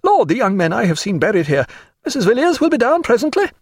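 A man's voice: extremely high pitch, sing-song, fast talker, breathy edge, no echo, studio quality, voice pushed up, exaggerated voice. A man voicing a coarse, gin-drinking old Englishwoman in an audiobook, blunt, garrulous and theatrical. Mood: bawdy, garrulous. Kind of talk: audiobook character voice.

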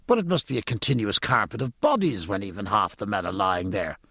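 Learned gentleman landsman performed by a male narrator, formal, curious, precise, animated pitch with a slightly husky grain. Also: fairly quick, no room sound, clean studio sound, full character voice. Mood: incredulous. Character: inquisitive, learned gentleman, a puzzled landsman aboard ship. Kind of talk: audiobook character acting.

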